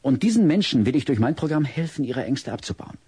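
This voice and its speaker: A big, kindly child psychologist in a radio play, gently probing and explaining. The voice is husky and lively in pitch, recorded dry. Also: male voice, fast talker, dry recording. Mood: earnest, explanatory. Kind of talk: audio-drama acting.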